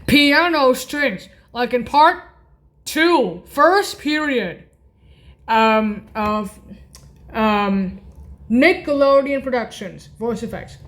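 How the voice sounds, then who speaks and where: A woman giving an informal music tutorial in a halting, repetitive way, correcting herself as she goes. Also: a little high, expressive, a bit bright. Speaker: music practice video host. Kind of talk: informal spoken tutorial naming keyboard voice effects.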